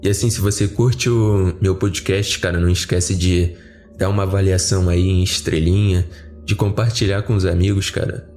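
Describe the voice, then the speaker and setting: A man's voice: deep voice, steady tone, normal speed, darkish tone, faint music behind. A Brazilian man with a slightly off, flu-affected voice, chatting informally and in a rambling way to his listeners as a podcast host. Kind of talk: casual chat to listeners.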